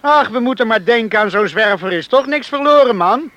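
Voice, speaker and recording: An actor playing a hot-headed, indignant young drifter in love in a Dutch radio play. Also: male voice, very high voice, expressive, a little fast, fairly bright tone, lo-fi sound. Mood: defiant, taunting. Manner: indignant, bantering, protesting.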